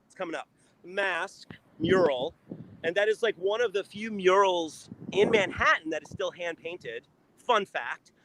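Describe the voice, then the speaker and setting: A man's voice: high-pitched, animated, a little slow, fairly bright tone, slight background noise, poor audio. A man chatting off the cuff as a live show host, rambling and thinking aloud in a casual way. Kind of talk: casual improvised chat.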